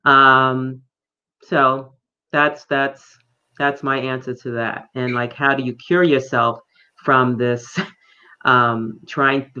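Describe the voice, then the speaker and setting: A woman's voice: very deep voice, sharp tone. A woman from New York speaks as a guest coach, answering reflectively and thinking aloud. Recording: dull lo-fi sound.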